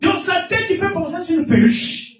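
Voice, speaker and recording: In a reverberant church sound system, set back from the mic with music behind, a man preaches with a Congolese French accent. His voice rides very high and swings widely as he drives his exhortations home with emphatic repetition, often shouting. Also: sing-song, moderate pace, distant mic. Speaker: pastor preaching. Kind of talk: fervent Pentecostal-style sermon with testimony and call-and-response.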